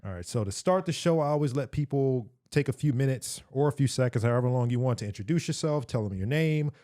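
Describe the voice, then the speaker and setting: A man's voice: medium pitch, animated, fast, mellow. A man hosting a podcast, chatty and upbeat as he welcomes and questions a guest. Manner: upbeat and friendly when introducing, asks questions loosely. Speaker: podcast host. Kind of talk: casual interview talk.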